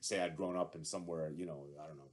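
Animated, rambling male podcast host. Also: low-pitched, racing, very noisy. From Montreal area. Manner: animated storytelling, many fillers and restarts, laughs often. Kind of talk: casual conversational interview.

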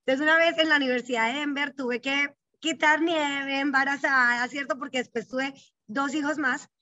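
Bright-voiced woman telling her story earnestly. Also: high voice, fairly even intonation, moderate pace, no echo. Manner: earnest, reflective, uses frequent tag questions. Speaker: guest, psychologist and bank executive. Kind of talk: personal storytelling in an interview. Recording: dull, dry, slightly lo-fi.

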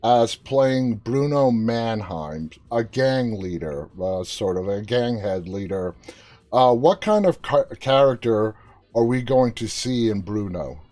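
A man's voice: fairly low pitch, animated, slow pace, a little mellow. A man hosting a live interview, asking conversational questions full of fillers. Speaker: interview host.

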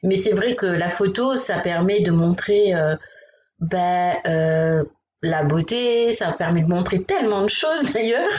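A woman's voice: on the low side, expressive, measured pace, darkish tone. A woman hosting a casual interview, chatty and friendly, with frequent fillers. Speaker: podcast host. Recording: roomy, dull lo-fi sound.

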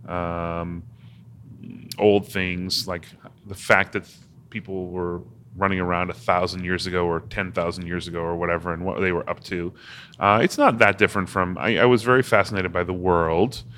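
A man from Massachusetts with a very deep, low voice answers interview questions in a loose, rambling way. He restarts his sentences often, and a faint hum of room ambience sits behind him. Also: steady tone, moderate pace, a bit bright. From Massachusetts, USA. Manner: rambling, many restarts and fillers. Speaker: interview guest. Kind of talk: casual conversation.

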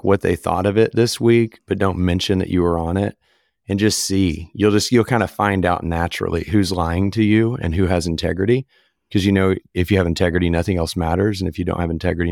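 Male podcast co-host, lowish voice, a touch hoarse, relaxed, dry close mic. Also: no room sound. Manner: easygoing, loose, lots of fillers. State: recovering from voice loss, occasional squeak. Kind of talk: casual podcast chat.

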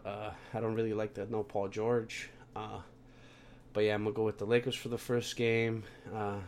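A young man with a fairly low voice talks through his basketball picks in a loose, rambling podcast style, full of "like" and "uh". He is stuffed up with a cold, so his voice comes out congested. A faint hiss sits under the recording. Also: darkish tone. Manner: rambling, filler-heavy, restarts sentences. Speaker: podcast host. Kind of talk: casual sports picks podcast.

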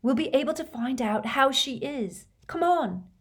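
A woman voicing a gentle, concerned and sensible teenage schoolgirl with a soft, careful manner in a storybook reading. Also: sits high, lively intonation, measured pace, pitched above the performer's own voice. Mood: reassuring.

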